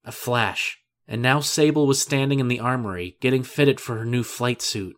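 Mid-pitched male story narrator. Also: normal speed. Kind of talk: scripted audio drama narration.

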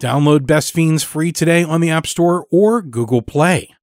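A man's voice: a little high, measured pace, a little mellow, no echo, studio quality. A man hosting a podcast, reading scripted narration and announcements. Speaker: podcast host.

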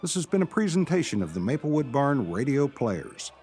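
Radio announcer, male: mid-range voice, lively intonation, scripted narration, light music underneath. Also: medium pitch, brisk.

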